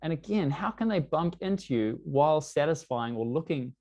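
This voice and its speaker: A man's voice: fairly high pitch, animated, moderate pace, dark tone, muffled recording. A man from New Zealand with a New Zealand English accent, presenting an online workshop in a conversational way, often saying "you know". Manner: conversational, uses many fillers like "you know". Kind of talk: online business workshop talk. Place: lives in London.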